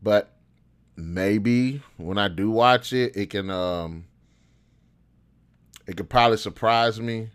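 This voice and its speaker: A man's voice: fairly low pitch, slow, long pauses, clean tone. A man hosting a show, giving casual, opinionated commentary in slangy, informal speech as he thinks aloud. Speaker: host of a show on video game movies.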